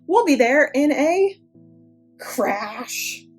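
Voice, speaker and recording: A woman's voice: high voice, big pitch swings, extremely slow, soft background music, pitched above the performer's own voice, full character voice. A woman voicing a kindly, eager male Viking lookout from a children's storybook, calling out announcements. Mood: excited.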